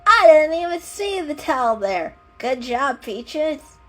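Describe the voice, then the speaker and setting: Easygoing, upbeat storybook prankster kitty, played by a woman, high-pitched and hearty. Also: sing-song, takes it slowly, soft background music, full character voice. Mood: amused. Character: good-humoured, easygoing prankster house cat. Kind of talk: dramatic children's story reading.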